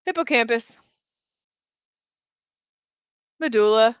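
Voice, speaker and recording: A detached surgeon from an audiobook, read by a woman, businesslike and matter-of-fact. The delivery is clipped and slightly high, with a dry, close sound. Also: brisk, clean tone, dry recording, lightly acted. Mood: clinical. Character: detached, businesslike surgeon talking over a patient.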